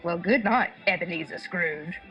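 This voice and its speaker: A woman's voice: on the low side, normal speed, fairly bright tone, lo-fi sound, soft background music. An actress playing a cheery, chummy tavern landlady in a radio play. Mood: jolly, chummy. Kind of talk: radio drama acting.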